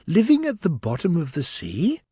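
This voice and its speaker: A polite, curious little girl from a storybook asking question after question, as a man puts her on. The voice is lifted high with a lively, bouncing melody, recorded close and dry. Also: normal speed, husky, dry recording, exaggerated voice. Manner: polite, inquisitive. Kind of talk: audiobook reading.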